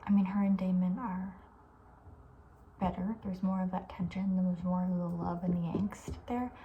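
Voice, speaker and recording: A soft-spoken woman host chatting casually in an ASMR style, often near a whisper, informal and thinking aloud. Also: lowish voice, little pitch movement, normal speed, lo-fi sound, a little hiss or hum.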